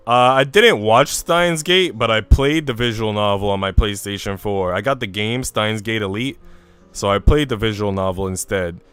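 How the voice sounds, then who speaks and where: Smooth-voiced man streaming, music behind. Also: lowish voice, normal speed, fairly bright tone. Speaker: game streamer. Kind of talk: casual stream chat and visual novel read-aloud.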